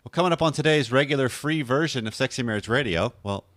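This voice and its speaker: A man hosting a podcast in a relaxed, chatty way, with a slightly hoarse deep voice and a habit of correcting himself as he goes. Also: quick pace, no room sound. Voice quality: deep radio voice. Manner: conversational, rambling, self-correcting. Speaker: podcast host. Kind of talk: casual podcast talk.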